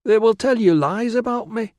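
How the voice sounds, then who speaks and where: A man narrator plays a silly, excitable young girl in an audiobook. The voice is pushed high, gushing and whiny, and always insistent. The sound is close and dry. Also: fairly quick, no echo. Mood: sulky, insistent. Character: silly, pleading, excitable teenage British girl. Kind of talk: audiobook character voice.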